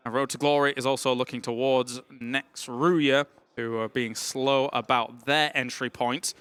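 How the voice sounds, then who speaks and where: Bright-voiced male esports caster. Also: mid-range voice, smooth, dry recording. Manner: energetic play-by-play, quick reactions. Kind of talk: live esports commentary.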